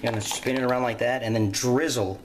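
A man's voice: medium pitch, fairly even intonation, fast, roomy sound, noisy background. A young man filming himself cooking in a home kitchen, explaining casually and swearing with cocky confidence. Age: young adult. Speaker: home cook vlogger. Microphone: handheld camera nearby.

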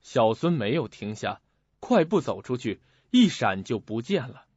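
A man's voice: mid-range voice, very animated, a little slow, darkish tone, slightly rough. A man giving scripted, dramatic narration for a suspense radio drama. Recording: dull audio with music behind.

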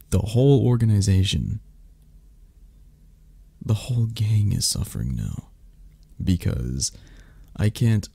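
A man playing a sick, grumbling, needy mafia boss in an ASMR romance roleplay, soft, breathy and raspy, halting and plaintive. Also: lowish voice, dry recording, exaggerated voice. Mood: sulky grumbling.

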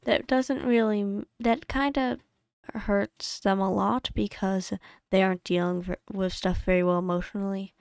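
Smooth-voiced woman rambling over her drawings. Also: mid-range voice, fairly even intonation, no room sound, clean studio sound. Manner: rambling, self-correcting, quick asides. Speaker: YouTube creator. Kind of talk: casual voice-over narration of a game playthrough. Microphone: home desk mic.

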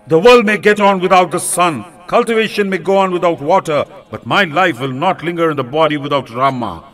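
A man's voice: on the high side, a little fast, a bit bright, close to an everyday voice. A man voicing a grief-stricken, wrathful old king, speaking in impassioned, declamatory pleas. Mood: pleading.

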